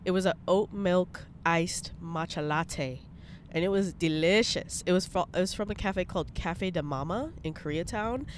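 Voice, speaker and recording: A woman's voice: fairly low pitch, moderate pace, slight background noise. A young woman with a congested voice, chatting bluntly in a casual vlog from her parked car, swearing freely. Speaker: vlogger.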